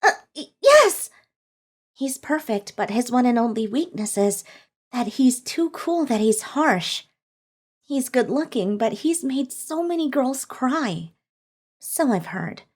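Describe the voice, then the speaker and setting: A woman's voice: sits high, animated, slow, exaggerated voice. A woman voicing a meek, hardworking young office part-timer who narrates her own story in a manga dub and stammers when flustered. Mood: confiding. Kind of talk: scripted first-person manga dub narration.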